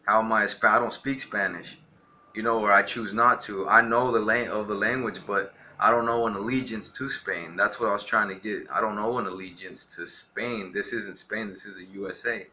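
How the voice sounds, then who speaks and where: A man's voice: on the low side, steady tone, normal speed, bright, forceful tone, slight background noise. A young man from Texas ranting defiantly to camera, rambling with lots of filler words. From Texas, USA. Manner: rambling, defiant, heavy on "you know" fillers. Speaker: vlogger. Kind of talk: casual ranting monologue to camera.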